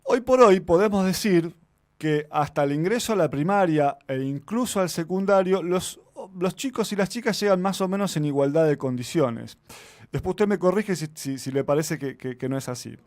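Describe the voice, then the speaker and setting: A man's voice: a little high, lively intonation, moderate pace, no room sound. A man, hosting an interview and asking polite, somewhat hesitant questions. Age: 30s. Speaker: host.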